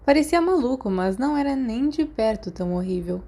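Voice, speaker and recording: A woman's voice: a little high, expressive, a little fast, warm tone. A woman with a Brazilian Portuguese accent, reading a story aloud as scripted audiobook narration. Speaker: audiobook reader.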